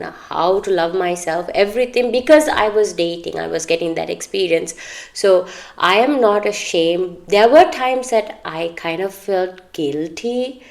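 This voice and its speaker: A woman's voice: fairly low pitch, gravelly, darkish tone. A woman co-hosting a podcast, chatting candidly and earnestly about personal matters, in a talkative, advice-giving way. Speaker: podcast co-host.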